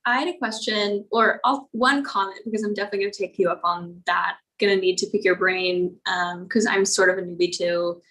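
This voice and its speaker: A woman's voice: medium pitch, steady tone, a little fast, few pauses, a bit bright, low-quality recording. Young woman asking casually on a call. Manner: friendly, uses filler like "like" and "um". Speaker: fellow Fulbright student. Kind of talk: casual question over video call.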